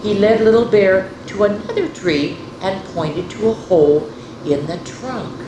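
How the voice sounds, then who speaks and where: Expressive woman hosting story time, echoey room. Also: medium pitch, measured pace. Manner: expressive, addressing young children directly. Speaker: children's story time host. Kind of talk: picture-book read-aloud with casual asides. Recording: roomy echo, faint background noise.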